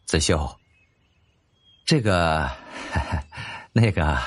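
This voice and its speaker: A man's voice: low-pitched, expressive, drawn-out words, husky, dry recording, pitched below the performer's own voice, heavily acted. A man voicing a sheepish yet eager strategist in a historical audiobook, with a wheedling manner. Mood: bashful. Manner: hesitant, wheedling. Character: sheepish, eager, wine-loving male strategist.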